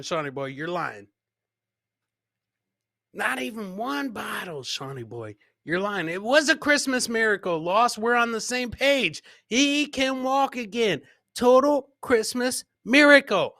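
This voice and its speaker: A man's voice: sits high, sharp tone, no room sound, studio quality. A man hosting a casual live comedy show, commenting on clips, repeating his phrases and talking to his producer and viewers. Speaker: podcast host.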